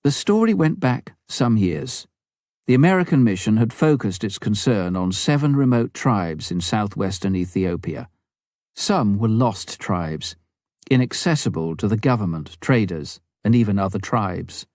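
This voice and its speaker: A man's voice: lowish voice, animated, measured pace, darkish tone, dry recording, clean studio sound. A man reading a memoir aloud as scripted audiobook narration.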